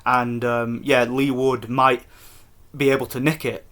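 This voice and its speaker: A man from Scotland giving a casual, excited post-fight review for his vlog, rambling with restarts. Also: mid-range voice, unhurried. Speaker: boxing vlogger.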